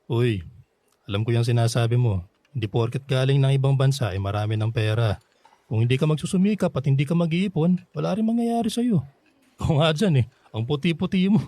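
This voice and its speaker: An actor playing a gentle, self-effacing middle-aged Filipino man in a radio drama, with a soft, earnest delivery and a Filipino accent. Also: medium pitch, animated, brisk, no echo, lightly acted. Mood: easygoing. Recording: music behind the voice.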